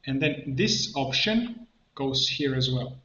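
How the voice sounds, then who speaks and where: A man presenting an improvised software tutorial, thinking aloud haltingly as he works at a computer. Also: medium pitch, expressive. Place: indoor room at a computer. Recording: dull, echoey room, clear background noise. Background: keyboard typing and mouse clicks.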